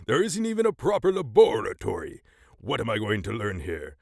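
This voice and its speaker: A man's voice: fairly low pitch, wildly swooping pitch, brisk, gravelly, a little mellow, no room sound, clean studio sound, exaggerated voice. A man playing a pompous ten-year-old boy genius and aspiring evil scientist in an audio drama, speaking theatrically in elaborate words. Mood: disdainful.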